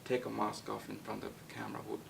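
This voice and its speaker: Young man from Nepal, deep gravelly voice, flat delivery, halting with fillers, distant mic with faint rumble. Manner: halting, many fillers and restarts. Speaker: guest actor. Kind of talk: casual interview.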